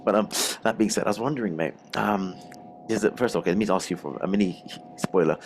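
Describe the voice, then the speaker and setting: A man with a rough, strained, unwell voice chatting casually and slangily as co-host of a gaming show. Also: fairly low pitch, fast talker, slight background noise. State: rough, strained voice from a throat infection. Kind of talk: casual chat.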